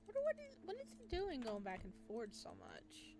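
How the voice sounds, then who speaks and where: A woman's voice: sits high, huge pitch swings, moderate pace, background noise. Woman reading a visual novel aloud. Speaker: game streamer.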